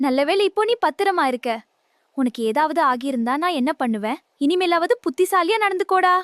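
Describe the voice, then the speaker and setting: A very high-pitched, caring young cartoon fawn, voiced by a woman. The delivery is earnest and protective, like a sensible friend giving warnings, with soft music underneath. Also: animated, fairly quick, no room sound, clean studio sound. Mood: relieved, forgiving. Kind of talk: children's animated moral story dialogue.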